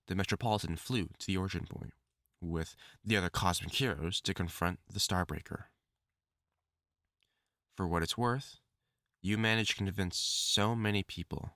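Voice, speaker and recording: A man's voice: deep voice, fairly bright tone, dry recording, studio quality. A man acting a lovesick, obsessive supervillain scientist with formal, theatrical diction in a dramatic audio roleplay monologue. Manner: formal, theatrical diction, tender endearments breaking into anguished outbursts.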